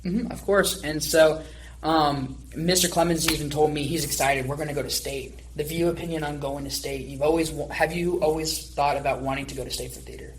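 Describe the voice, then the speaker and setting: A man's voice: fairly high pitch, steady tone, fairly quick, slight background noise, low-quality recording. A man hosting a conversational podcast interview, asking long, rambling questions. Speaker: podcast host.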